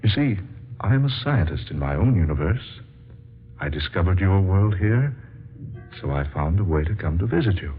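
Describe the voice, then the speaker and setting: A man's voice: deep voice, big pitch swings, normal speed. A man playing an earnest, awestruck scientist in a radio drama, polite and fervent in his delivery. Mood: careful, revealing. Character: earnest, awestruck scientist visiting a hidden world. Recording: tinny, noisy old-time radio recording.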